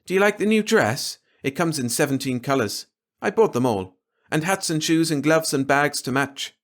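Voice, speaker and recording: A man's voice: on the high side, lively intonation, normal speed, voice pushed up, natural voice. A man voicing a spoiled, theatrical young woman of nearly twenty in a storybook reading. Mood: breezy. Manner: breezy, theatrical.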